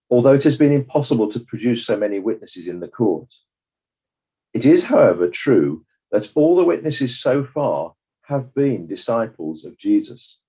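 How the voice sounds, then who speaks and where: A man playing a measured, judicious coroner, delivering a formal, deliberate summing-up in a dramatized courtroom inquiry. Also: mid-range voice, warm tone, slightly rough. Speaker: presiding judge of inquiry.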